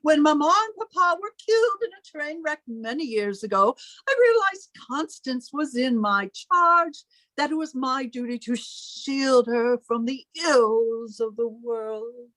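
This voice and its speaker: Actress as controlling spinster sister, high voice, dry close sound, menacing formal delivery, vintage radio drama. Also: female voice, animated, a little slow, fairly bright tone, dry recording, rough recording. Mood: cold, controlling. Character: stern, controlling, lonely older sister guarding her family's money. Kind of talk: old-time radio melodrama acting.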